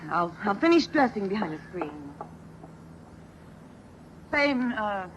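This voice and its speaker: An actress playing a wary, slangy young vaudeville performer in an old-time radio drama, halting when flustered. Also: female voice, medium pitch, big pitch swings. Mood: guarded, awkward. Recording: muffled, noisy vintage radio broadcast.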